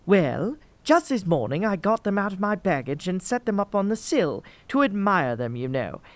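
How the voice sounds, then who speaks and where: A woman playing a breezy, foppish young gentleman heir in a comedic audio drama, with an airy, genteel, carefree manner. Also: medium pitch, very animated, moderate pace, no echo, close to an everyday voice. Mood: wistful.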